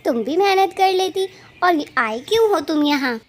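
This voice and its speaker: Woman as little songbird heroine, kids' cartoon. Very high voice, sharp-tongued, stands her ground. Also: expressive, normal speed, slight background noise. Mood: defiant, indignant. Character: feisty defiant little female tailorbird. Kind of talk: children's cartoon story dialogue.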